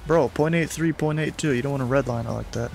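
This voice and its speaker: A man's voice: mid-range voice, fast talker, creaky, darkish tone, soft background music. A young man hosting a live stream from his home room, talking bluntly and casually with lots of slang. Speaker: streamer host.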